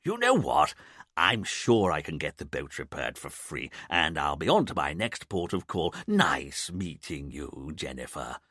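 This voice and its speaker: A man's voice: extremely low pitch, wildly swooping pitch, a little slow, a bit bright, no echo, clean studio sound, pitched below the performer's own voice, heavily acted. A man voicing a pompous middle-aged con man with a patrician drawl.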